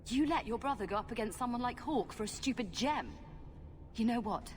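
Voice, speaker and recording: An actress playing a feisty, wounded young long-lost daughter in a fantasy video game. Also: female voice, fairly high pitch, expressive, brisk, big-room sound, a little hiss or hum, lightly acted. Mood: scornful. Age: young adult. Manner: defiant, earnest, sarcastic.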